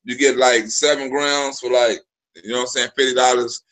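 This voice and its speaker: A man's voice: mid-range voice, flat delivery, brisk, a bit bright, low-quality recording. A man in his forties hosting a live stream, telling loose, profane stories full of restarts. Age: 40s. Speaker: live show host. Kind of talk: casual live-stream chat.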